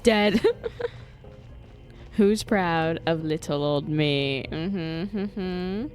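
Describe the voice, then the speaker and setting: Playful, slow-paced young woman streaming games. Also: fairly low pitch, darkish tone. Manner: joking, playful exclamations. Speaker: video game streamer. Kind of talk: casual gameplay commentary. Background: game music.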